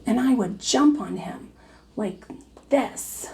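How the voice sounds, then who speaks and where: A woman's voice: a little high, expressive, slow, gravelly, a little mellow, a little hiss or hum, voice pushed up, exaggerated voice. A woman voicing a sulky, stubborn young boy in a storybook, with a petulant, grumbling manner. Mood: cross, indignant.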